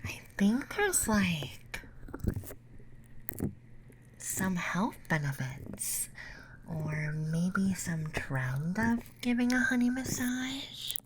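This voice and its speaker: A woman voicing a friendly, playful young femboy classmate in breathy, gentle ASMR whispers and soft speech, close to the mic. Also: mid-range voice, very animated, very slow, pauses often, background noise. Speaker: ASMR performer. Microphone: close ASMR microphone.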